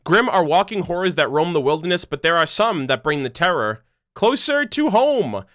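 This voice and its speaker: A man's voice: fairly high pitch, big pitch swings, moderate pace, fairly bright tone. A man hosting a reaction video, giving casual, slangy commentary full of exclamations.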